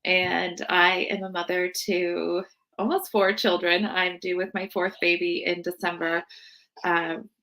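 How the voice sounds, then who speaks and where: This is an American woman speaking casually as a podcast guest. Her voice sits on the low side and has a strong creak to it, with a loose conversational delivery. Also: level tone, unhurried, sharp tone, rough recording. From United States, Seattle area. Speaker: podcast guest, birth worker.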